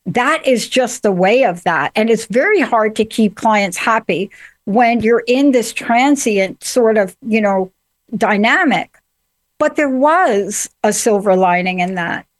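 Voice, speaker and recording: A woman hosting a casual interview, her voice somewhat high. She talks briskly and steers the chat with questions. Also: expressive. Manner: brisk, conversational, asks questions. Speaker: podcast host.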